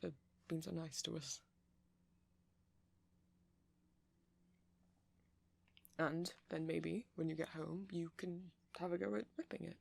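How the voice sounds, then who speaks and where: Male actor as nerdy vampire, creaky voice, hesitant rambling, kind, reassuring, ASMR roleplay. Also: on the high side, fairly even intonation, moderate pace, a little mellow. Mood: shy, kind. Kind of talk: ASMR roleplay, soft conversational monologue.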